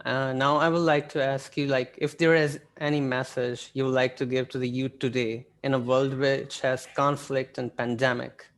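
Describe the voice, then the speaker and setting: A man from Pakistan whose first language is Urdu, interviewing a guest over a video call, agreeable and soft-spoken with frequent "like"s. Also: mid-range voice, level tone, normal speed, few pauses. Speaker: blogger and interviewer.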